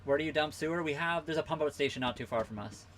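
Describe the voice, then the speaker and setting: A man from western Canada, hosting a casual live Q&A from his sailboat, reading out viewer comments and answering them in a relaxed, rambling way. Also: on the high side, quick pace, background noise. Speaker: livestream host answering viewer questions. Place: on a sailboat at a marina.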